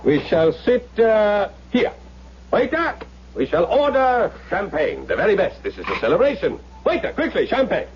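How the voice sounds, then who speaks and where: Exuberant, high-voiced young Nazi officer. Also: male voice, wildly swooping pitch, normal speed, slight background noise. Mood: exuberant, commanding. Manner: effusive, insistent, commanding. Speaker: actor in a radio drama. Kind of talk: 1970s radio drama acting. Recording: muffled old radio broadcast, faint music.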